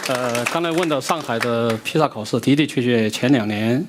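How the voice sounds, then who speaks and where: A man answering audience questions in a lecture room, speaking in short bursts that pause for an interpreter. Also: mid-range voice, some chatter behind, a little hiss or hum. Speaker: visiting education expert answering questions.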